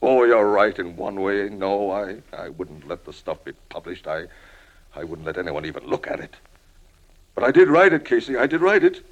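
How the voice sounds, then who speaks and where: An older man acting in a 1950s radio drama as a washed-up alcoholic crime reporter, self-pitying and wheedling, with halting, pleading speech. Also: sits low, big pitch swings, lo-fi sound. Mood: bitter, impassioned.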